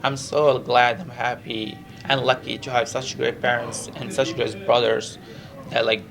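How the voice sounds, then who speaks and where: Gravelly Moroccan-accented male fighter. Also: medium pitch, little pitch movement, unhurried, a bit bright, faint music behind, rough recording. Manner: earnest, repetitive, non-native English with frequent 'you know'. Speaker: professional MMA fighter. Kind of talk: press interview, casual chat.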